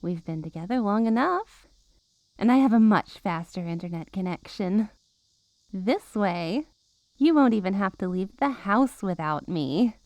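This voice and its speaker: A woman's voice: medium pitch, lively intonation, measured pace, a little mellow, no room sound. A woman playing a possessive, menacing witch girlfriend in an ASMR roleplay, cooing and teasing with mock-innocent sweetness and veiled threats. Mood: possessive, gleeful.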